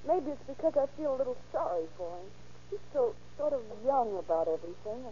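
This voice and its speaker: An actress playing a hesitant, soft-hearted young woman in an old-time radio drama. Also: high voice, sing-song, brisk. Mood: troubled, wavering. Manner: hesitant, wavering. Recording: muffled, hissy vintage radio recording.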